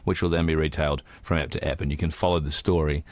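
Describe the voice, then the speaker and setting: A man's voice: rumbling low, flat delivery, fast talker, no echo. A man co-hosting a podcast in casual creative discussion, often repeating and rephrasing himself. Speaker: podcast co-host.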